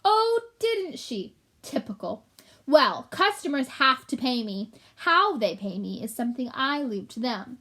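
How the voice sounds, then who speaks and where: A fussy little male rat voiced by a woman from a children's storybook, high in pitch and full of self-importance. He talks like a proud mapmaker, haughty and easily affronted. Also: sing-song, pushed and bright, exaggerated voice. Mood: scornful. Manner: haughty, quick to take offence.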